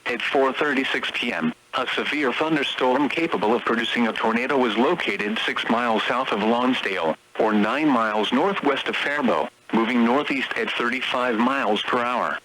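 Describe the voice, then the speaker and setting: A synthetic male announcer with a medium-pitched, bright and forceful voice delivers automated emergency weather bulletins. The reading is formal and clipped, phrase by phrase. It comes over a weather radio broadcast with faint noise. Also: normal speed, hardly any pauses, rough recording.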